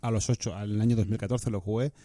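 Guy, lowish mellow voice, gets excited about games, swears a bit, trails off. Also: fast, no room sound, clean studio sound. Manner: enthusiastic, swears casually, trails off mid-sentence. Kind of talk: casual chat about video games.

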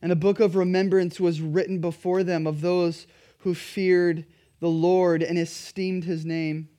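High-voiced man preaching earnestly. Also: little pitch movement, measured pace, warm tone. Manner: earnest, emphatic preaching with rising emphasis. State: slightly dry, raspy throat. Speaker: pastor. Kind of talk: sermon.

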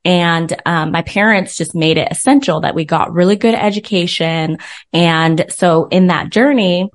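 An American woman with a slightly low-pitched voice, speaking as a guest in an interview. She tells personal stories in a chatty, informal way and slips quoted conversations into them. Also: a little slow. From born in Zimbabwe, raised in the United States. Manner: chatty, informal, animated retelling with quoted dialogue. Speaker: interview guest, business coach. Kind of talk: casual personal storytelling in an interview.

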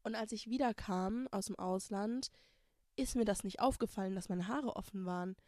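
A woman's voice: mid-range voice, moderate pace, mellow, dry recording. Candid young woman, dry close sound. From Germany. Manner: candid, emphatic, mixes English words into German. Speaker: podcast host. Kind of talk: casual personal monologue.

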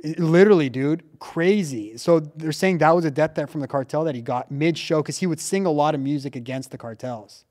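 A man hosting a casual podcast, telling stories in an animated way with lots of filler words like "like" and "dude.". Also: on the high side, a little fast, few pauses. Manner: animated storyteller, heavy use of "like" and "dude". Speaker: podcast host.

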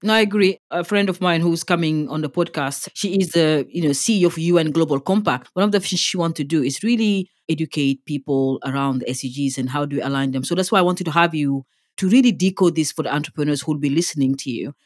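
A woman's voice: low-pitched, fast, no room sound, clean studio sound. A woman hosting a podcast interview, chatty and enthusiastic as she asks questions. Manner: enthusiastic, chatty, uses you know. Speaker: podcast host.

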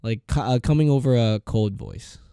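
A man's voice: mid-range voice, normal speed, mellow, clean tone, dry recording, studio quality. A young man co-hosting a podcast in loose, rambling, jokey casual chat. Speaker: podcast co-host.

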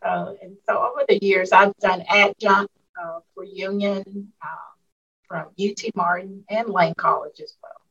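A woman's voice: medium pitch, steady tone, unhurried, husky, dull audio. A woman in her fifties from Arkansas, a school superintendent reflecting on her life in a casual interview, with lots of fillers. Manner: warm, reflective storyteller with frequent fillers. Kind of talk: casual interview over a call.

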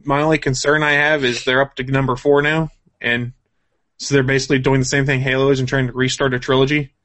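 A man with an average-pitched voice co-hosts a relaxed talk show. He speaks conversationally and gives measured opinions, as in a casual chat between hosts. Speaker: show host. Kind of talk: casual co-hosted chat about gaming and comics news.

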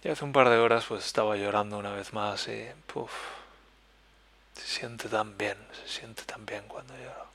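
A man from Spain with a Spanish accent, hosting a podcast and talking softly and haltingly about his feelings. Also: lowish voice, fairly even intonation, vocal fry. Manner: soft-spoken, introspective, halting. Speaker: podcast host. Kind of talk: personal reflective monologue. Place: living in Germany.

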